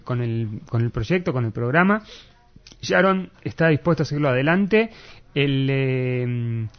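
Halting Argentine man, slightly high voice, muffled recording. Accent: Argentine Spanish. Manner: halting, searching for words, repeating key words for emphasis. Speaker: interviewee giving political analysis. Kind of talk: spoken interview, explanatory analysis. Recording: dull, lo-fi muffled sound.

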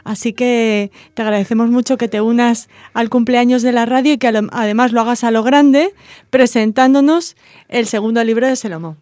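High-voiced woman radio host from a dry studio. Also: moderate pace, a little mellow, no echo. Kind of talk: conversational radio interview.

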